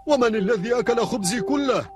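High-voiced, kindly little dwarf. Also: male voice, expressive, fairly quick. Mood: surprised. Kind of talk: dubbed cartoon character acting.

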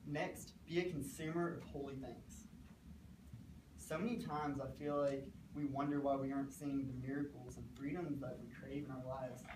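A teenage man delivers an earnest, measured sermon in short phrases, his voice sitting in the middle of the usual range. He is recorded by a camera well back from the stage, so the room's echo is loud and carries behind every word, with a steady ambient hiss underneath. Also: medium pitch. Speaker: student preacher. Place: classroom with a small stage, indoors. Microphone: far camera, no microphone. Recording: echoey room from far off.

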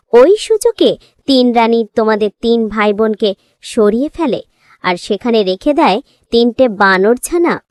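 A woman's voice: a little high, no room sound. A woman voicing a benevolent fairy queen in a cartoon, explaining things solemnly to a young girl. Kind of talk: cartoon voice acting.

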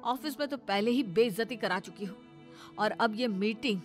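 A woman's voice: medium pitch, normal speed, soft background music, natural voice. A woman voicing an exasperated young husband who complains and reproaches in a family cartoon. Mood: angry reproach. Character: exasperated young husband, an office worker, quick to blame. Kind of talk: animated family drama voice acting.

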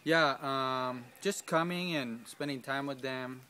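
A man's voice: medium pitch, takes it slowly, bright, forceful tone. A man in his thirties answering interview questions outdoors in a garden, hesitant, with many ums and restarts. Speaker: field staff.

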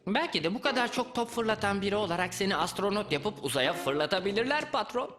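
A naive, eager cartoon henchman, placating and chatty. The words come quickly, and music plays clearly in the background. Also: high voice, expressive. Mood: naively chatty. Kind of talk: children's cartoon dubbing.

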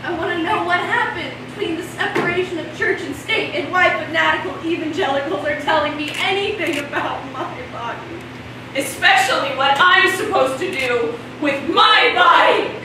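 A very high, impassioned voice rings through an echoey hall, heard from far off. It is a woman on stage playing an indignant, anguished woman in crisis, delivering a theatrical monologue with heavy emphasis. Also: normal speed, noisy background. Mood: indignant disbelief. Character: indignant, anguished women in crisis over lost rights. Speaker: stage actor. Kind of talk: theatrical monologue in a one-act play. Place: indoor stage before a seated audience. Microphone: distant camera.

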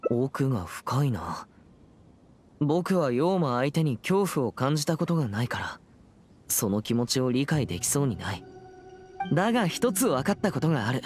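A man's voice: mid-range voice, big pitch swings, normal speed, soft background music, natural voice. A man voicing a composed, earnest teenage boy exorcist in an anime game, speaking seriously and politely with a slightly stiff manner. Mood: calm, pondering. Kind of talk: anime game character acting.

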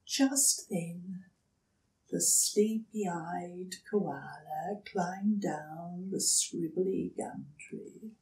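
Older lady reading a kids picture book, super slow n dramatic, echoey living room. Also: fairly low pitch, a little mellow, recorded from a distance. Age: older, 60s or above. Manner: deliberate, dramatic. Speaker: storybook reader. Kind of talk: expressive children's story narration. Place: indoors, furnished living room.

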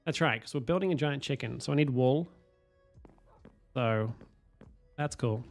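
A man, streaming a game from home on a headset, hoarse from a cold, swearing and joking with chat. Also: mid-range voice, unhurried, faint music behind. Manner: swearing, self-mocking, chatting to viewers. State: hoarse, losing his voice from a cold. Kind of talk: casual live-stream chatter while playing.

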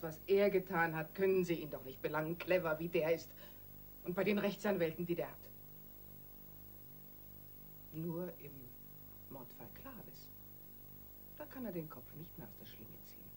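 A woman's voice: fairly low pitch, moderate pace, long pauses, a little mellow, slight background noise, lightly acted, rough recording. An actress in a German radio crime drama playing a calculating, insistent wife who works on her husband with emphatic persuasion.

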